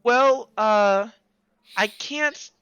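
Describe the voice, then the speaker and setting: A man voicing a kind, hesitant woman shopkeeper in a halting, apologetic way for a tabletop game. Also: extremely high pitch, bright, forceful tone, smooth, dry recording, pitched above the performer's own voice, heavily acted, poor audio. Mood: guilty, hesitant.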